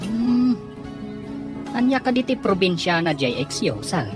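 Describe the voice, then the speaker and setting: A woman's voice: mid-range voice, sing-song, measured pace, music in the background. A woman playing a solicitous companion to a troubled boss in a drama. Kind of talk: acted drama dialogue.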